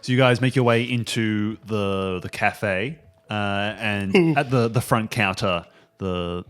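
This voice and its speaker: A man's voice: fairly low pitch, takes it slowly, fairly bright tone, soft background music. A man chatting casually and narrating as game master of an improvised tabletop game.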